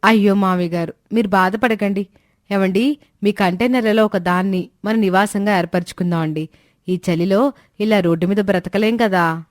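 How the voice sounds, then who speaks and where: A woman playing a caring, sensible young daughter-in-law who gently advises in a family story. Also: medium pitch, fairly even intonation, normal speed, no echo, clean studio sound, lightly acted. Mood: reassuring. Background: background music.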